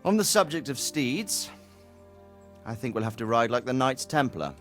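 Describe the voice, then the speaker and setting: An actor voices a middle-aged nobleman in a game cutscene, fatherly and wry. His voice rides up and down with lively pitch. Soft orchestral music sits behind him. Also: moderate pace, lightly acted. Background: orchestral score.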